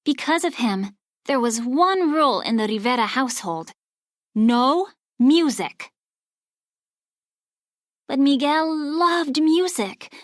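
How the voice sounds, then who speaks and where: A woman narrating a children's storybook aloud in an expressive, scripted read-along style. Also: high-pitched, takes it slowly, long pauses, dry recording, clean studio sound.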